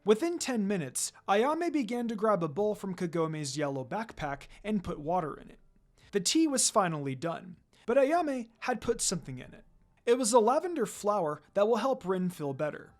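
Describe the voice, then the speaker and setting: Lively story narrator with varied pitch. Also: moderate pace. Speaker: narrator reading fan fiction. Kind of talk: expressive amateur story narration.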